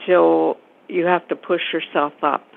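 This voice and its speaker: A woman's voice: low voice, level tone, a little slow, gravelly. A woman in a book club sharing an earnest, reflective view. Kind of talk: casual group chat. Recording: tinny phone-like line.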